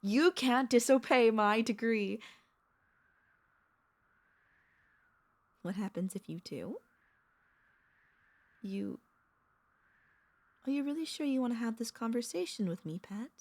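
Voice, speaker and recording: Woman acting a doting, creaky-voiced vampire mistress. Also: on the high side, mellow. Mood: playfully menacing. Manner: tender, teasing, halting, intimate. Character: doting, possessive two-hundred-year-old royal vampire mistress. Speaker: voice actor and vtuber. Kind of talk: intimate ASMR audio roleplay.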